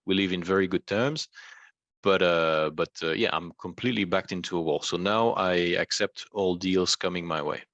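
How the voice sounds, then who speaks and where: Low-voiced man, close and dry. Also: normal speed, fairly bright tone, dry recording, dull audio. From Belgium. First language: not English. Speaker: interview guest, rank and rent website entrepreneur. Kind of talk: explanatory conversational talk.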